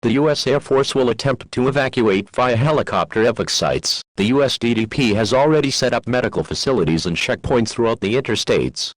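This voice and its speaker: A man reading a scripted emergency broadcast in a formal, measured, official manner. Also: medium pitch, lively intonation, dry recording, low-quality recording. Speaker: emergency alert announcer.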